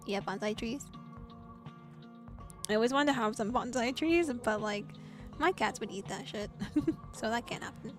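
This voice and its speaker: A young woman streams herself reading a visual novel, with a fairly high voice. Her narration keeps breaking into animated, laughing reactions. Soft music plays faintly in the background. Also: normal speed, pauses often. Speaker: VTuber streamer.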